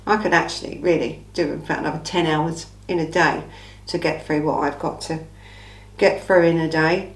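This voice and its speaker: A woman with an English accent and a slightly croaky, under-the-weather voice, hosting a casual true-crime Q&A in an informal, emphatic way with rhetorical asides. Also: sits low, fairly even intonation, normal speed. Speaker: true-crime channel host. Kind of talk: casual chatty Q&A monologue. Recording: echoey room.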